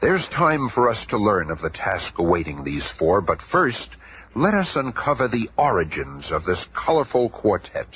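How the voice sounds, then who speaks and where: An actor narrating a radio drama serial as a grandiose, theatrical storyteller with dramatic showmanship. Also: male voice, lowish voice, very animated, moderate pace, a little hiss or hum. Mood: grand, suspenseful. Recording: muffled old radio recording. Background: loud music.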